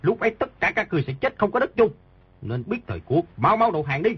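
Woman voicing a stubborn loyal male guard, deep, very husky and fast; dull lo-fi audio. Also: sing-song, heavily acted. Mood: defiant.

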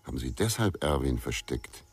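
An actor playing a terse, probing investigator questioning a witness in a radio crime drama. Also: male voice, extremely low pitch, big pitch swings, moderate pace, husky, heavily acted. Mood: probing, quiet.

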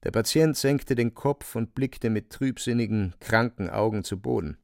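A man's voice: fairly low pitch, moderate pace, gravelly, a little mellow, dry recording, clean studio sound. A man narrating a literary radio drama in a scripted storytelling style.

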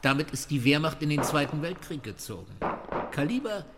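An actor playing an enthusiastic police firearms expert in a radio drama, relishing technical details. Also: male voice, fairly high pitch, big pitch swings, fairly quick. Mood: keen. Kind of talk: radio drama, conversational briefing. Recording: gunfire and clear noise behind.

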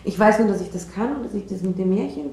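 A woman playing an insecure, defensive out-of-work actress in a naturalistic radio drama, speaking haltingly and trailing off. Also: mid-range voice, expressive, mellow, reverberant, lightly acted, low-quality recording. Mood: unsure, hopeful.

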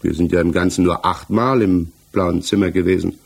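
An actor in a 1960s German radio play, playing an accused married village mechanic who answers earnestly, evasively and haltingly. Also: male voice, sits low, fairly even intonation, creaky, darkish tone, slightly rough, exaggerated voice. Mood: defensive. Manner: hesitant, halting, defensive.

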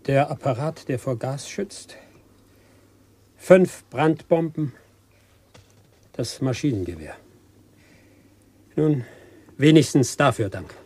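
An actor playing a stern official in a radio play, with a declamatory delivery. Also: male voice, mid-range voice, lively intonation, slow, long pauses, darkish tone, husky. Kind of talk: 1980s radio drama acting.

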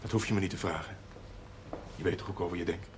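A man's voice: deep voice, fast talker, lightly acted. An actor playing an awkward, reserved brewery man in a 1970s television drama. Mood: awkward, restrained. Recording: noisy 1970s television soundtrack.

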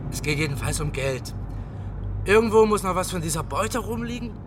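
A man's voice: sits high, animated, brisk. An actor playing a pushy, hot-headed young man with an urgent, blunt manner in a crime radio drama. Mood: eager, suspicious. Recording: clear car noise behind. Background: car and road noise.

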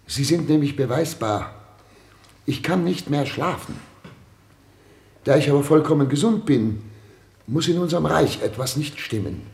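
An actor playing a pompous, fretful head of state in a German radio play, speaking in an oratorical, theatrical way. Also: male voice, on the high side, lively intonation, darkish tone. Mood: grave, self-important. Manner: pompous, oratorical, theatrical throat-clearing and pauses. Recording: roomy, reverberant radio studio sound.

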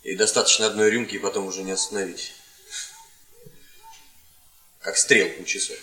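An actor playing a restrained, self-regarding young academic husband in a radio play, with a stiff, polite, measured manner. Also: male voice, fairly low pitch, flat delivery, sharp tone, faint music behind. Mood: confiding. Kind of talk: theatrical radio play acting. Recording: echoey room radio theatre recording.

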